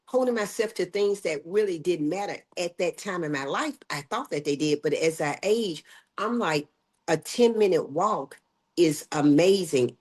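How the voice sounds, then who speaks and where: A woman's voice: deep voice, slightly rough. An older woman, a health educator, speaking emphatically as an interview guest. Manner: emphatic, acts out quoted voices. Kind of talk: conversational interview.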